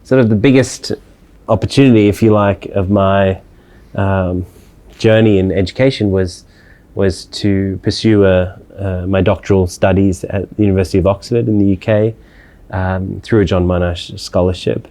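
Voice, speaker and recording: A man gives reflective answers in an interview. His voice sits low and sounds soft and mellow. He hesitates often and restarts his phrases as he thinks. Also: steady tone, normal speed. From Australia. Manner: thoughtful, hesitant with many fillers and restarts. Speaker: interviewed scholar.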